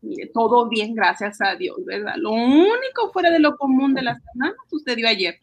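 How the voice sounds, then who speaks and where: High-voiced, bustling Peruvian housewife acted by a woman. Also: lively intonation, normal speed. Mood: chatty, fussy. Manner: exclaiming, repetitive, coaxing, sighing. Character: Micaela, bustling, affectionate housewife and mother, fussy and chatty. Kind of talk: amateur Spanish-language radio drama acting.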